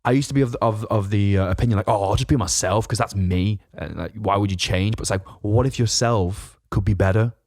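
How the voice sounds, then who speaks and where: A man with a northern English accent speaks in a very deep, fairly monotone voice. He chats in a laid-back way on a podcast, recorded close and dry with no room sound. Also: fairly quick, hardly any pauses, no echo. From northern England. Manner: self-described monotone, laid-back, says "mate". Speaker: podcast co-host, fitness coach. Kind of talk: casual podcast chat.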